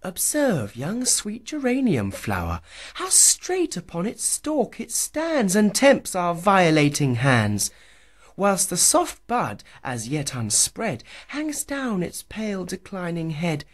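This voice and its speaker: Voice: medium pitch, wildly swooping pitch, slow pace, pitched above the performer's own voice, heavily acted. A reader voicing a swooning young woman in a pastoral love poem, with rapturous, breathless speech and whispered gasps. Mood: admiring. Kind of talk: dramatic poetry reading.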